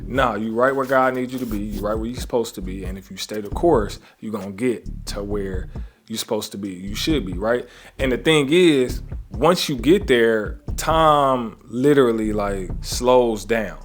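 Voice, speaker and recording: A man's voice: fairly low pitch, moderate pace. Earnest man giving a motivational talk. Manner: conversational, often adds "right?" and "you know what I'm saying?"; earnest, preaching gratitude with faith references. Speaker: trading coach.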